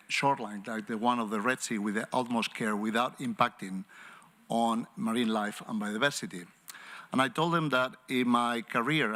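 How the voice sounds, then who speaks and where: A man telling a story on a summit panel in a measured way with pauses, in a conference room. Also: medium pitch, a bit bright. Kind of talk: panel discussion answer.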